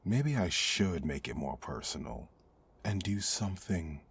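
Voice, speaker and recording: A man playing a menacing street mugger in an ASMR audio drama, with a rough, husky voice and a taunting, threatening manner. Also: low voice, sing-song, unhurried, heavily acted. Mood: sneering, menacing. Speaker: voice actor.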